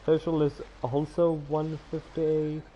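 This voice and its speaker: A man streaming a game, chatting casually and thinking out loud in a rambling way. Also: on the high side, monotone, slow pace, dull audio, noisy background. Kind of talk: casual livestream chat while gaming.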